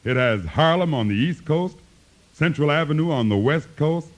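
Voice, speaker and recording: A man's voice: fairly high pitch, lively intonation, normal speed, lightly acted. A man acting an easygoing, talkative train porter in a 1950s radio comedy. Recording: vintage 1950s radio recording.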